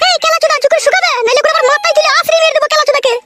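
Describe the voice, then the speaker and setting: A woman's voice: very high voice, fairly even intonation, racing. A woman voicing a fierce, bossy young village wife in a comedy cartoon, scolding in a rural West Bengal accent. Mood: fierce, threatening. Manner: scolding, bossy, coarse-tongued. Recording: loud noise behind the voice.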